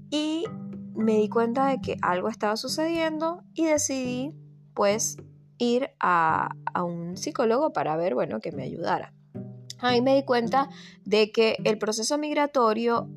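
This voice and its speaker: A Venezuelan woman speaks with a fairly high voice, thinking aloud and repeating words as she goes. Guitar music plays clearly under her voice throughout. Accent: Venezuelan Spanish. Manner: reflective, many fillers and repeated words. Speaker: vlogger. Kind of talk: personal storytelling.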